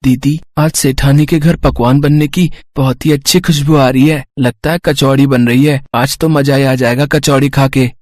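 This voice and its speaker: A man's voice: mid-range voice, fairly even intonation, moderate pace, few pauses, a little mellow, no echo, clean studio sound, close to an everyday voice. A man voicing a young, food-loving little brother in a moral story, eager and wishful. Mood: longing. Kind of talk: moral story character acting.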